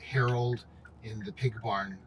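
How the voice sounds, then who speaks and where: A man chatting casually in a halting, repetitive, approving way. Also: mid-range voice, steady tone, recorded from a distance. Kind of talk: casual chat. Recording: loud vehicle noise, off-mic.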